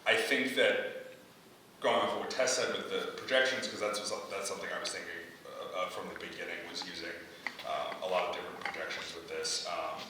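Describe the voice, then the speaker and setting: A man's voice: low voice, fairly even intonation, fairly quick. A man thinking aloud as a playwright in an unscripted discussion, hesitant and often rewording as he answers feedback. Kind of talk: unscripted post-reading discussion. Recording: echoey room, distant mic, background noise.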